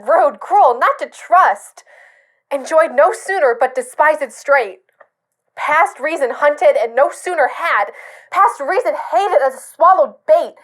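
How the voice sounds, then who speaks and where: A woman performing a Shakespeare sonnet as an anguished, bitter monologue, with halting, weighted phrasing. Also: sits high. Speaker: student drama performer.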